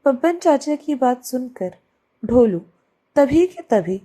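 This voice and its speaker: Slow, mellow woman storyteller. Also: high-pitched, big pitch swings. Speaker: story narrator. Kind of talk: scripted children's story narration.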